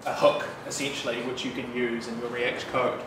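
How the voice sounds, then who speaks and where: A man in his thirties with a New Zealand accent, giving a casual, chatty developer talk at a meetup, relaxed with frequent fillers and asides. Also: mid-range voice, normal speed. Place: bright loft-like office room with an audience. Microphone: room mic, speaker standing away from it. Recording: distant, echoey room with background noise.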